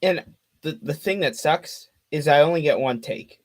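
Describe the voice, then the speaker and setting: A man of twenty giving fan play-by-play on a live stream, calling the action quickly and chatting with viewers between plays. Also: fairly high pitch, lively intonation. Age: 20s. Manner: rapid call of the action mixed with chatty asides to viewers.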